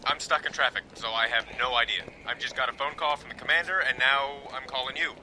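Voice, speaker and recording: An actor playing an urgent, businesslike young Army lieutenant on a phone call in an audio drama. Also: male voice, medium pitch, steady tone, fast talker, sharp tone, slight background noise.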